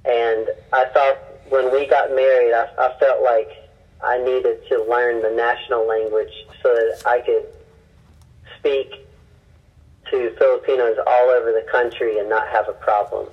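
A man with a husky, breathy voice in a middle register speaks as a missionary guest, recounting his experiences earnestly and often restarting his sentences. The sound is dull and lo-fi. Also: mid-range voice, flat delivery. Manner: earnest, halting with many restarts. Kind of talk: personal storytelling in an interview.

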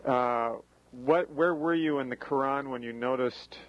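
A man's voice: medium pitch, measured pace, no echo. A man interviewing a guest on the radio, asking conversational questions. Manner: brief backchannel, conversational questions. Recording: dull radio broadcast.

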